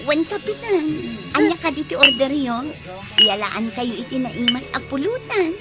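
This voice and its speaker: An actress playing a polite young woman serving drinks in a radio comedy, coaxing and unhurried. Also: sits high, very animated, over loud music. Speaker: bar server. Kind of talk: radio comedy drama acting.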